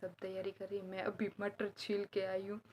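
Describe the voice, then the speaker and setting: A young woman vlogging casually at home, a little hoarse from a sore throat, chatting at length as she explains family customs. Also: mid-range voice, monotone, moderate pace, darkish tone, a little hiss or hum. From Gujarat. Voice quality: slightly hoarse. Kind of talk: casual vlog chat. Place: indoors at home, inner room.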